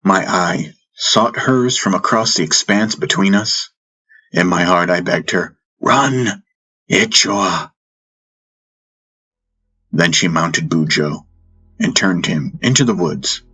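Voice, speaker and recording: A man's voice: low-pitched, measured pace, bright, forceful tone, breathy edge. A man narrating a fantasy audiobook in the first person, with intense, solemn, dramatic storytelling. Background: music bed.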